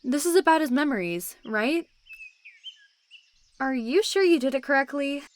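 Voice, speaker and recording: Woman acting earnest, high-voiced secretive young woman. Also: expressive, moderate pace, a little hiss or hum. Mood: serious, concerned. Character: secretive, protective young supernatural woman hiding the truth. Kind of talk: amateur roleplay voice acting.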